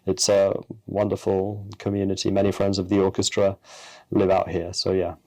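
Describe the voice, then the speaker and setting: A man in his forties with a London accent, speaking as an orchestra president in a measured, earnest interview. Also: low-pitched, monotone, darkish tone, low-quality recording. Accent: London English. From born in Argentina, raised in London. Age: 40s. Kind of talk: interview conversation.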